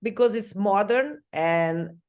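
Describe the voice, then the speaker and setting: An Italian woman interviewing a singer, speaking English with an Italian accent in an admiring way, often pausing to find her words. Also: medium pitch, animated, clear, resonant tone. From Italy.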